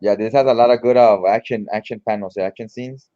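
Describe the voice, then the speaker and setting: A man's voice: fairly low pitch, steady tone, a little fast, warm tone, dull audio. A man giving casual read-along comic commentary in an informal, slangy, mildly profane way. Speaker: comic book reviewer.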